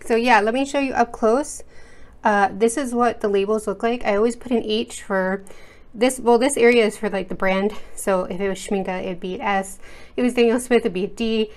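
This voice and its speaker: A woman's voice: fairly high pitch, steady tone, moderate pace. Woman, from the US, art vlog host, casual rambling chat, fillers and restarts, desk at home. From United States. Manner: chatty, rambling, lots of fillers and self-corrections. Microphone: overhead camera setup, speaker off-screen.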